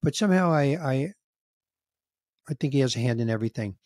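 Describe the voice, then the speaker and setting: A man in his sixties hosting a podcast, telling a story in a casual, animated way and acting out the dialogue, with some emotion. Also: mid-range voice, fairly quick, dry recording, clean studio sound. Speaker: podcast host, comedian. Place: home room with record shelves. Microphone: studio mic on boom, headphones, video call.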